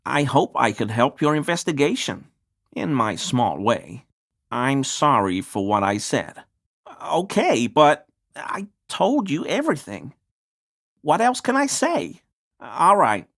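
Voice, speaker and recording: A man's voice: medium pitch, very animated, slow, a bit bright, full character voice. A male actor playing a nervous, apologetic townsman in a video game, pleading and hesitant as he answers to the police. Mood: defensive, explaining, then apologetic, obliging. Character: nervous, grovelling small-time bigoted townsman under police questioning. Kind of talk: scripted video game character dialogue.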